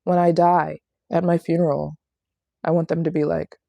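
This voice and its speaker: A woman's voice: low voice, little pitch movement, normal speed, gravelly, mellow, no echo. A woman in her late twenties chatting casually and playfully on a livestream as a travel vlogger, often repeating phrases for emphasis. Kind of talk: casual livestream chat answering viewer questions. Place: small apartment living room in Japan.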